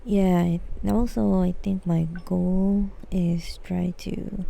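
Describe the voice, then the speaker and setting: Creaky-voiced woman game streamer, slow and hesitant. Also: lowish voice, level tone, dark tone. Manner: hesitant, rambling, thinking aloud. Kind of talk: casual live stream chat while gaming.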